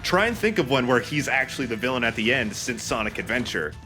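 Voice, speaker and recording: Wry, animated man joking over game music. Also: medium pitch, quick pace. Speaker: let's play commentator. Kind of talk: casual joking game commentary. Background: loud video game music.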